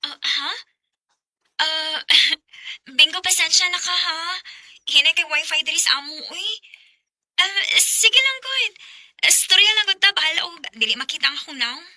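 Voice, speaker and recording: A woman's voice: very high-pitched, lively intonation, a little slow, pauses often, bright, forceful tone, dry recording, exaggerated voice. A woman playing a sweet, coy young woman being courted in a radio drama, with a girlish manner and a Cebuano Bisaya accent. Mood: shy, evasive.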